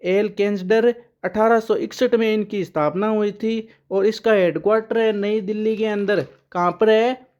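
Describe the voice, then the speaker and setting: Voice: medium pitch, steady tone, normal speed, darkish tone, dull audio. A current affairs teacher giving an exam-prep lesson with memory tricks, who asks questions aloud, answers them and repeats the key names.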